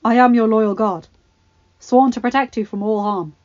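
A woman's voice: fairly high pitch, fairly even intonation, normal speed, darkish tone, dull audio, natural voice. A woman voicing a dutiful knight. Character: loyal male knight, royal bodyguard.

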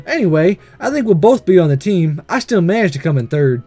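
Dude doing sporty anime schoolgirl, breezy n competitive, high voice, visual novel. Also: big pitch swings, a little fast, dark tone, faint music behind. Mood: breezy, cheerful. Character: breezy, competitive, good-natured schoolgirl athlete. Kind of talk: visual novel read-aloud.

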